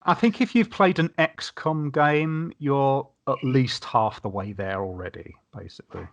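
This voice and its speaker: A man's voice: mid-range voice, unhurried. A man on a podcast panel chatting casually and explaining things, rephrasing as he goes. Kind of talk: casual chat about gaming.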